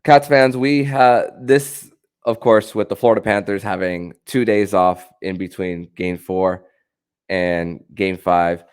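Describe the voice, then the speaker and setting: Low-voiced man hosting a sports podcast. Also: steady tone, slow, clean tone. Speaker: podcast host.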